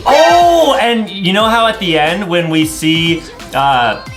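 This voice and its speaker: Slow-paced young man over music. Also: sits high, expressive. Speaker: reaction show co-host. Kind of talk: casual video game commentary. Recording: clear music bed behind voice.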